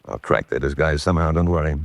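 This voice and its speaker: A man's voice: a bass voice, quick pace, creaky, no room sound. A man playing a determined, self-assured amateur spy hunter in a radio drama, with a dry manner. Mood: confident.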